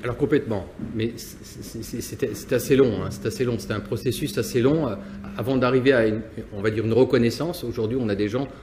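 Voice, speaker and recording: Brief: male company owner from Nantes, halting and reflective, mid-pitched. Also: darkish tone, a little hiss or hum. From France, Nantes. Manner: hesitant, many restarts and repeated words. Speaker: business owner. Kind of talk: interview answers, personal reflection.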